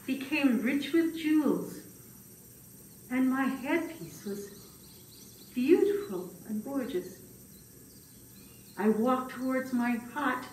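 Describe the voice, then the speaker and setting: A woman voicing a humble, fretful old man who tells his puppet-show tale in a dramatic, hesitant way. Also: high voice. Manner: slow, dramatic, hesitant telling with wailing exclamations. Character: humble, fretful old male grass cutter, puppet storyteller. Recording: distant, echoey room with faint noise.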